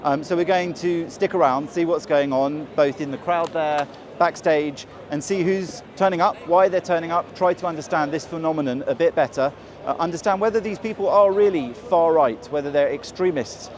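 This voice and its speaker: A man reporting from an outdoor street rally and interviewing attendees in a measured, inquisitive way. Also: fairly high pitch. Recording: busy crowd noise outdoors. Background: crowd.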